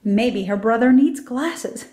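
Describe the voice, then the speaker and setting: A woman's voice: fairly high pitch, animated, slow pace, a little mellow. A woman reading a children's story aloud as scripted narration. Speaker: storybook reader.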